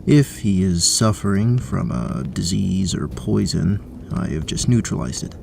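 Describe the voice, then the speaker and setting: Man playing a gravelly, stoic paladin. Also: sits low, expressive, measured pace, darkish tone, a little hiss or hum, heavily acted. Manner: terse, formal, serious. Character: stoic, dutiful male paladin inspector. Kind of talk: tabletop fantasy audio drama acting.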